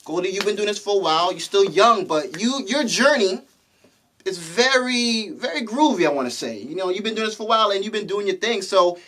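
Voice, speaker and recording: Fast-talking male interview host. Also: high voice, expressive, bright, forceful tone. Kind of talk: hype casual interview.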